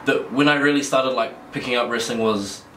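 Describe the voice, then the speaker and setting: A young man with a New Zealand accent chats as a guest, laughing easily and circling back through restarts. His voice is pitched middling for a man. He sounds distant from the mic in an echoey room with faint noise behind. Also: a little fast, bright, forceful tone. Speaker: guest wrestler. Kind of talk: casual interview chat.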